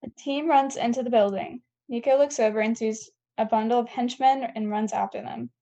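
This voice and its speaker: Mellow, high-voiced woman narrating a script. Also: fairly even intonation, moderate pace, gravelly. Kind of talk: scripted narration of stage directions at a table read. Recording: dull, lo-fi recording.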